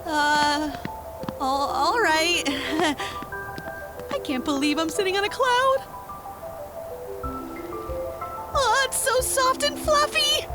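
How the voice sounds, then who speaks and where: A woman playing an excitable, casual sidekick in an audio drama, expressive and slangy. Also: extremely high pitch, slow pace, heavily acted. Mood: giddy, nervous. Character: Cat, excitable, casual, easily amazed female sidekick. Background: music.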